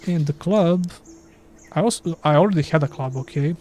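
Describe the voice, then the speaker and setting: Chatty Serbian-accented gaming streamer. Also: male voice, on the high side, moderate pace, darkish tone, slight background noise. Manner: chatty, self-deprecating, thinks aloud. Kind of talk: casual live gameplay commentary.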